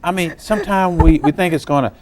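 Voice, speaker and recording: A man's voice: fairly high pitch, quick pace, background noise. A man hosting an interview, asking conversational questions and nodding along with short replies. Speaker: talk show host.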